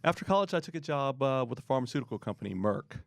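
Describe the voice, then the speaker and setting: A middle-aged man answering interview questions in a relaxed, rambling way, often pausing with fillers. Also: mid-range voice, vocal fry, no echo, clean studio sound. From born in Korea, raised in the US. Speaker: guest, marketing expert.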